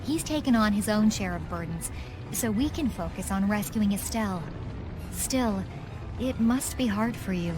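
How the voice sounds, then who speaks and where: A woman voicing a calm, coolly perceptive young woman in a video game, measured and composed. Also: medium pitch, natural voice, rough recording. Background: game music.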